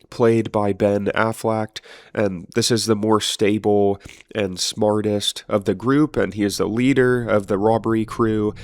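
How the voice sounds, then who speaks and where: A man hosting a weapons channel with a cold and a sniffly voice, talking through a film in a relaxed, rambling way with plenty of ums and uhs. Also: on the low side, dry recording, studio quality. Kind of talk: casual film-weapons commentary.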